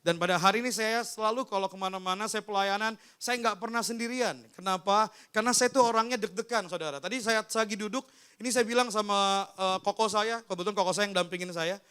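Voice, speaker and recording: A man preaches with a strikingly high-pitched voice that is bright and smooth. As a pastor, he tells personal testimony in informal, conversational language, speaking straight to his listeners. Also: steady tone, quick pace. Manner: informal storytelling, often addressing listeners directly. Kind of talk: personal testimony in a sermon, addressing a congregation.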